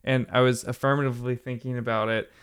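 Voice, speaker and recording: Male co-host, medium pitch, smooth clear voice, deadpan casual comedy. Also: fast talker. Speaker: podcast co-host.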